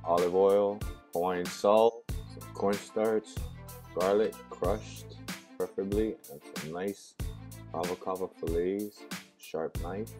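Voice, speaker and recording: Man, very deep voice, friendly recipe voice-over host. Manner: friendly, clear, recipe-style instructions. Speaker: cooking segment host. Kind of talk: instructional cooking voice-over.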